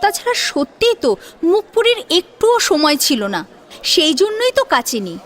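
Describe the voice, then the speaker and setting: A woman's voice: extremely high pitch, very animated, unhurried, slight background noise. A woman narrating a scripted audio story in a dramatic storytelling style.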